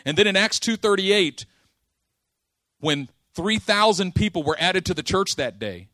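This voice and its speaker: A man preaching a sermon, telling a story with lively acted-out dialogue and casual slang. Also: high voice, measured pace, dry recording. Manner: animated storytelling, acting out dialogue.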